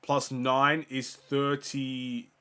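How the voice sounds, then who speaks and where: A man's voice: medium pitch, little pitch movement, takes it slowly, clear, resonant tone. A young man hosting a fan watchalong, giving casual play-by-play and stats while sick, his voice starting to go. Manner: rattles off stats, gets louder on big plays. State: sick, voice going. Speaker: fan host of a watchalong stream.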